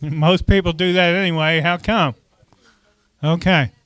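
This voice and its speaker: A man's voice: on the high side, steady tone, unhurried, fairly bright tone, smooth. A man preaching a conversational sermon as pastor, often asking the congregation questions and saying "okay" between points.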